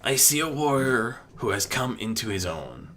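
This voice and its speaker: A man voicing a calm, wise, probing otherworldly being in a fantasy story, speaking in a measured and solemn way. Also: medium pitch, slight background noise, voice pushed up.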